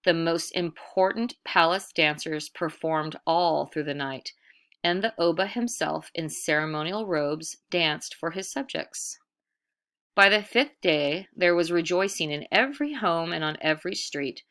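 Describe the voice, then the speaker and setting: Female storyteller, low register, for expressive picture-book narration with deliberate, dramatic emphasis.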